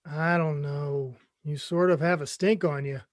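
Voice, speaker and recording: A high-pitched man acting as a blunt amateur screenwriter, recorded dry and close. He sounds self-important in a comedy table read. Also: fairly even intonation, a little slow, no room sound, lightly acted. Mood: smug.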